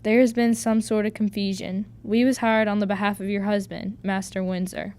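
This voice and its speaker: A woman's voice: medium pitch, level tone, moderate pace, a little mellow, natural voice. A woman playing a plucky fourteen-year-old Irish servant girl in a radio drama, earnest, deferential and folksy. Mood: apologetic.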